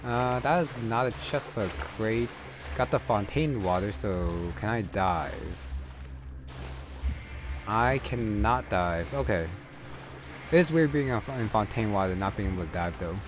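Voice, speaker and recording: A man's voice: lowish voice, expressive, unhurried, noisy background. A man giving casual, unscripted gameplay commentary, musing aloud to himself as he plays. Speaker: gamer, streamer. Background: game music.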